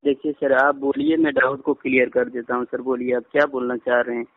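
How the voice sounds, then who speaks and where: A man's voice: mid-range voice, flat delivery, fairly quick. A man on a recorded phone call, talking as a customer care agent in an insistent, repetitive, persuasive way and politely addressing the listener as sir. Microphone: phone line.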